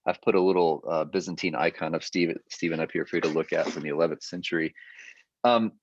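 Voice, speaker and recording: Low-voiced man lecturing with many fillers. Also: fast, dry recording, lo-fi sound. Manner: explanatory, hesitant with frequent fillers. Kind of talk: adult education lecture. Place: remote session over video call.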